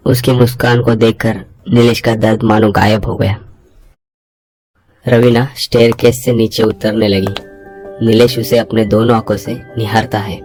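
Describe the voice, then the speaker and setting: A man narrating a scripted bedtime story with dramatic storytelling and voicing the hero's lines. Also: mid-range voice. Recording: loud music bed under narration.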